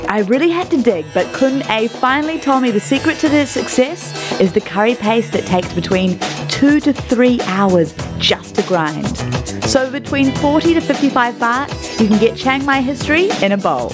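A woman's voice: fairly high pitch, lively intonation, normal speed. A chatty, enthusiastic woman in her twenties hosting an upbeat food travel show. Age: 20s. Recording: loud background music.

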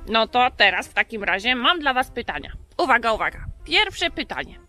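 A woman's voice: on the high side, very animated, normal speed, pushed and bright, soft background music. A woman voicing a cheerful firefighter puppet who playfully asks children quiz questions.

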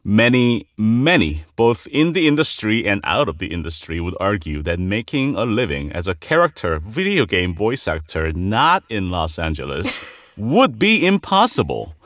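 Animated, low-pitched man hosting an interview. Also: moderate pace, no echo, studio quality. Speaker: podcast host. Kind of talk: conversational interviewing.

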